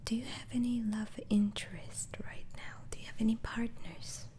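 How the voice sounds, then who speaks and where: A woman whispering an ASMR roleplay as a patient doctor, with breathy, mostly unvoiced speech and hesitant, softly murmured questioning. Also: on the high side, fairly even intonation. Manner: slow, hesitant questioning with soft murmured acknowledgements. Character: mild, patient asylum doctor interviewing a patient. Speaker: ASMR roleplay performer playing an interviewing doctor.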